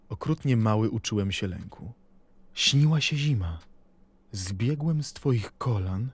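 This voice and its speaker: A man playing a poet who tenderly recalls his childhood in a reflective, deliberate literary recitation for an audio play, with expressive pauses. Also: lowish voice, slightly rough, exaggerated voice. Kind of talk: dramatic poetry and memoir recitation.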